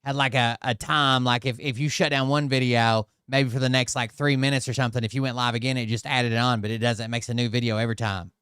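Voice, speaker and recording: A man's voice: mid-range voice, flat delivery, quick pace, hardly any pauses, a bit bright, smooth, no echo. A man giving casual, blunt and profane commentary during a live stream.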